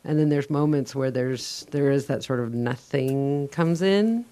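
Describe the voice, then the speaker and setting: A woman's voice: extremely low pitch, measured pace, mellow. A woman in a meditation group rambling through her experience in a chatty, self-conscious way. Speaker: retreat participant. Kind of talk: sharing in group Q&A.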